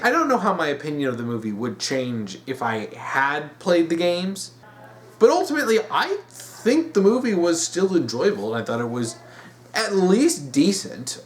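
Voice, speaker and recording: A man giving emphatic opinion commentary as a video host, repeating words for stress. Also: a little high.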